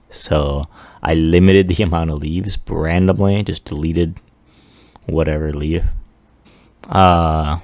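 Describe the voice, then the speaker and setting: A man giving a casual software demo walkthrough, offhand and self-deprecating as he thinks aloud. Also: sits low, dry recording. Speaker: 3D artist presenting a project.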